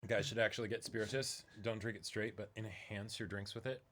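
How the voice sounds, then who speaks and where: A man's voice: fairly low pitch, steady tone, brisk, fairly bright tone. A man co-hosting a livestream, thinking aloud in rambling, casual banter. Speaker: streamer co-hosting a live reading stream.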